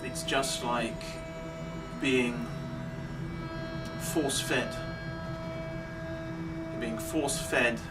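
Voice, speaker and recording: A man delivering a deliberate, emphatic monologue of social commentary, pausing between phrases. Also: medium pitch, fairly even intonation. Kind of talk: slow rhetorical monologue. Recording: distant mic, clearly noisy background.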